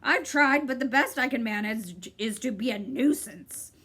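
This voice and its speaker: A woman voicing a pompous, meddling male bird in a storybook reading, with a shrill, swooping, cackling manner. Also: measured pace, a bit bright, slightly rough. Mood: sad.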